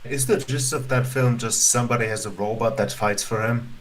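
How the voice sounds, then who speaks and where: A man's voice: mid-range voice, steady tone, a little fast, a little hiss or hum. A man chatting casually and informally as a co-commentator on a livestream. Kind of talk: casual chat.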